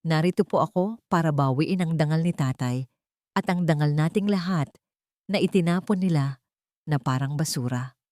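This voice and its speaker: A woman's voice: low voice, moderate pace, a little mellow, no echo, studio quality, lightly acted. A woman voicing a determined female airline captain who speaks with firm authority. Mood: resolute. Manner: authoritative, resolute.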